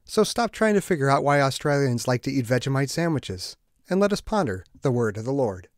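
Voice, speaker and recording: A man's voice: medium pitch, big pitch swings, brisk, no room sound, studio quality. A man hosting a church podcast, giving a friendly, lightly joking promo announcement. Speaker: podcast host.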